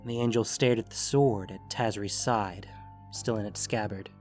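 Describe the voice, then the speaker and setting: A man reading scripted story narration with dramatic pauses and deliberate phrasing. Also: on the low side, fairly even intonation, a little mellow. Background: music.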